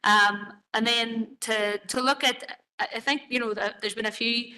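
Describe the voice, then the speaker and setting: Bright-voiced woman presenting. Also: on the high side, steady tone, unhurried, clean tone, poor audio. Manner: hesitant, many fillers and restarts. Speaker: presenter to a council committee. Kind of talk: committee presentation. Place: council chamber.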